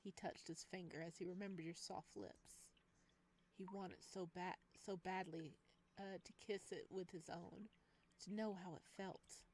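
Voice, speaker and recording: A woman reading a visual novel aloud and commenting on it in a gushing, excitable way. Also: fairly low pitch, measured pace, a little hiss or hum. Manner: gushing, excitable over cute things. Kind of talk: dramatic read-aloud with excited playthrough commentary. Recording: background music behind voice.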